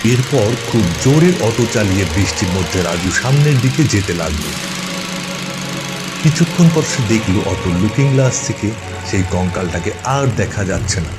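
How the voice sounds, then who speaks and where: Low-pitched man narrating a ghost story. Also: lively intonation, moderate pace, over loud music.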